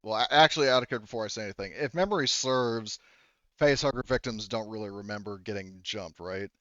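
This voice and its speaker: A man running a tabletop roleplaying game as game master, narrating off the cuff in a halting, rambling, self-correcting way. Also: on the low side, fairly bright tone, no room sound, lo-fi sound. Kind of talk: improvised game narration, casual chat.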